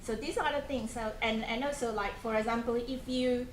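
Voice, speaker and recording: A fairly high-voiced woman with a Malaysian accent talks to a group of students in a conversational way, putting questions to them and often restarting her sentences. The room is echoey, with a faint hum of noise behind her. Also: fairly even intonation, brisk. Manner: conversational, asks the audience questions, frequent restarts and fillers. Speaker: programme coordinator giving a talk to students. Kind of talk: informal presentation to a student group.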